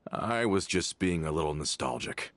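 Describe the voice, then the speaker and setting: An aging former laborer in a game, his voice very low. He is gentle and nostalgic and speaks with small hesitations. It sounds like a polished studio performance. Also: expressive. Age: older. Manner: hesitant, halting.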